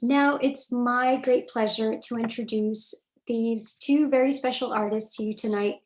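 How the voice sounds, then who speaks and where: A woman moderator opens a virtual conversation, reading a prepared script in measured, formal sentences. Her voice sits a little high. She comes through a video call. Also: steady tone, darkish tone, slight background noise, rough recording. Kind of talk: scripted introduction for a virtual talk.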